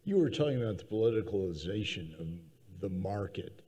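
A man's voice: fairly low pitch, animated, brisk, mellow, slightly rough. A man moderating a conference panel, asking questions in a conversational way on a hall stage.

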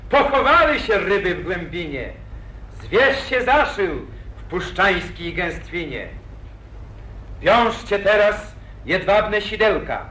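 An actor playing a boastful young hero of an old epic, declaiming verse with pathos in 1940s radio acting. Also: male voice, sits high, sharp tone, full character voice. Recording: echoey old radio recording.

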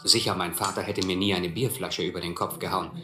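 An actor playing a reflective narrator who recalls his hobo youth in a radio play, measured and literary. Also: deep voice, steady tone, sharp tone, faint music behind. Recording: roomy, clearly noisy radio play recording.

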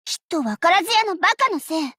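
A woman's voice: extremely high pitch, very animated, fast talker, fairly bright tone, dry recording, clean studio sound, heavily acted. A woman voicing an elegant young lady idol in an anime game, proud and playful, with refined, teasing, theatrical speech and an easily flustered streak. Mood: flustered, indignant.

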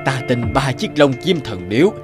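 A man voicing an old, stern but fair fairy-tale king, measured and authoritative. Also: mid-range voice, big pitch swings, over music, close to an everyday voice. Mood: solemn. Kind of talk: cartoon fairy-tale dubbing.

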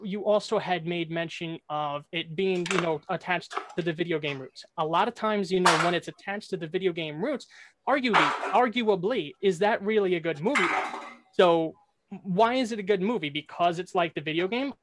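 A man's voice: high voice, normal speed, noisy background. A young man on a video call with a headset, making brash, trash-talking debate points. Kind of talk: competitive debate argument.

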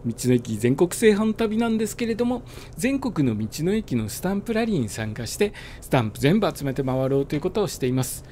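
Man, motovlogger, mellow voice, slightly raised pitch, helmet mic, faint road noise. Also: a little fast, few pauses. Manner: relaxed, filler-heavy, explanatory. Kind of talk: casual riding monologue. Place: riding a motorbike on suburban roads.

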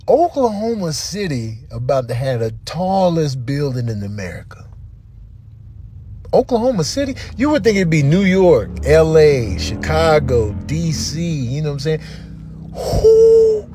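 A man's voice: a little high, huge pitch swings, a little slow, music in the background. A man doing comedic voiceover commentary in an exaggerated, incredulous, slangy way.

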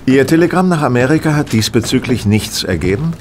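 An actor playing a shrewd, confident gentleman detective in a radio play, courtly, ironic and pointed. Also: medium pitch, lively intonation, brisk, slight background noise, natural voice. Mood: probing. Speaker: actor in an audio drama. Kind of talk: German radio play dialogue.

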